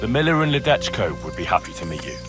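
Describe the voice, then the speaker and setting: A man's voice: low-pitched, very animated, quick pace, music in the background. A young man playing an earnest, stubborn and cheeky smith's son in a video game, plain-spoken and defiant. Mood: exasperated. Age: young adult. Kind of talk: video game cutscene acting.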